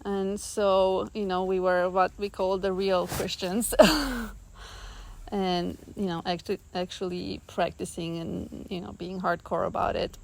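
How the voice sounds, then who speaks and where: A woman's voice: lowish voice, level tone, measured pace, vocal fry, darkish tone, low-quality recording. A woman from Romania speaking earnestly and reflectively as an interview guest, often with a laugh in her words. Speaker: guest, artist. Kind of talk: reflective personal conversation.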